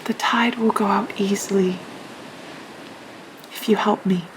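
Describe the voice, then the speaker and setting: A woman playing a gentle, ailing young woman in a period audio drama, tender and resigned, in a breathy near-whisper. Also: medium pitch, steady tone, measured pace, noisy background, lightly acted. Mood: tender, consoling.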